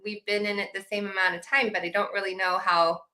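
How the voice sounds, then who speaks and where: A woman's voice: medium pitch, flat delivery, a little fast, pushed and bright. A woman in her thirties from Washington, a guest chatting casually from home, laughing easily and restarting sentences. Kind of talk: casual interview talk.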